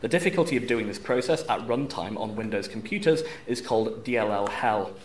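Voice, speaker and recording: A man's voice: fairly low pitch, lively intonation, fairly quick. Male quizmaster, noisy live room. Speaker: quizmaster reading questions. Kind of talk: formal quiz question reading. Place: university room at a quiz tournament. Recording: live room with background noise.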